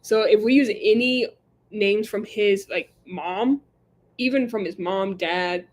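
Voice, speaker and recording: A woman's voice: medium pitch, slow. A young woman co-hosting a casual livestream, chatting with many fillers and reading viewer comments aloud. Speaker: livestream co-host. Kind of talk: casual live chat.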